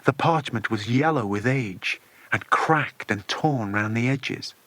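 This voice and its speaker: A man narrating a children's adventure audiobook in an expressive scripted reading. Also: medium pitch, takes it slowly, no room sound.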